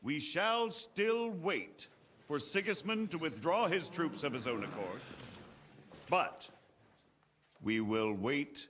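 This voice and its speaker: A man acting a measured, resolute nobleman leading a war council in a game, with stately, oratorical delivery. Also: on the high side, very animated, fairly bright tone, a little hiss or hum, full character voice. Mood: grave, resolute. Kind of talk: dramatic scripted game acting.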